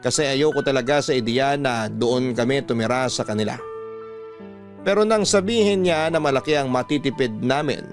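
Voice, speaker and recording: A man with a Filipino accent reading scripted first-person radio drama narration as the storyteller. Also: mid-range voice. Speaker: narrator of a letter-based love story drama.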